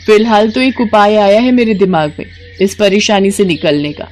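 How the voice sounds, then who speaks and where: A woman's voice: mid-range voice, steady tone, normal speed, darkish tone, slight background noise, lightly acted. A woman voicing a hopeful, respectful young village daughter-in-law in a cartoon story, reassuring and eager. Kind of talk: Hindi cartoon story dialogue.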